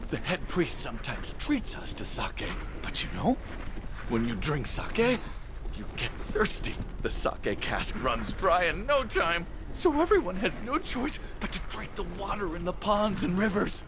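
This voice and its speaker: An actor playing a jittery, cowardly villager in a video game, muttering and stammering nervously. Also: male voice, sits high, sing-song, unhurried, background noise, full character voice. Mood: rueful, rambling. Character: jittery, cowardly villager hiding under a basket. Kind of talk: theatrical video game voice acting.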